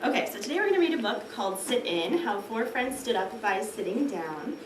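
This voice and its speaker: A woman's voice: a little high, sing-song, fairly quick. A woman speaking as a trainee teacher, rehearsing a classroom read-aloud. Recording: echoey, distant mic, clear background noise.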